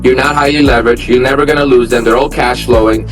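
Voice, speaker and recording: A man's voice: medium pitch, fairly even intonation, fast talker, music in the background. A young man from Armenia, a real estate investor, telling his story in a candid, plainspoken interview. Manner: candid, plainspoken, lots of fillers.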